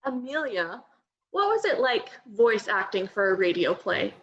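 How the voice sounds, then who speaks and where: A woman's voice: medium pitch, very animated, unhurried, highs cut off. A woman instructor interviewing children about their camp, asking friendly questions and answering with encouraging, upbeat words. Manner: encouraging, upbeat short replies. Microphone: video call.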